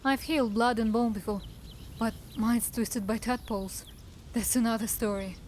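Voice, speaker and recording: A woman acting as a defiant drow assassin in a cinematic fantasy. She sounds guarded and cool, speaking tersely in a slightly high voice over faint background noise. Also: steady tone, normal speed, darkish tone, natural voice.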